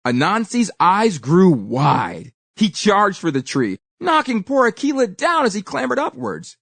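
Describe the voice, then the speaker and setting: A woman reading a children's story aloud with expressive, deliberate and dramatic emphasis. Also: medium pitch, creaky, no echo.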